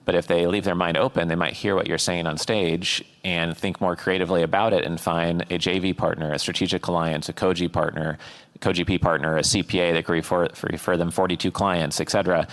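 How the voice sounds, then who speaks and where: A man hosting a conference fireside chat, upbeat and quick with praise, his speech full of fillers. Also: low voice, level tone, fairly bright tone. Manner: upbeat, filler-heavy wrap-up talk. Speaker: host. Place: conference stage.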